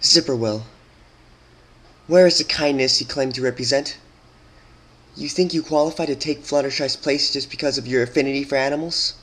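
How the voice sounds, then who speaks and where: Stern, wrathful pony princess for a fan-fiction drama, played by a male reader: raised pitch, regal, commanding, accusatory. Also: normal speed, long pauses, a bit bright, close to an everyday voice. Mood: scornful reproach.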